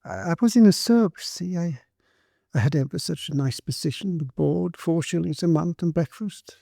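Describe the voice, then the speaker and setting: A frightened young servant girl with a shrill voice, stammering as she pleads in a storybook reading, put on by a male narrator. The voice sits high, with a mellow tone. The recording is close and dry. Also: animated, moderate pace, creaky, dry recording. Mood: timid.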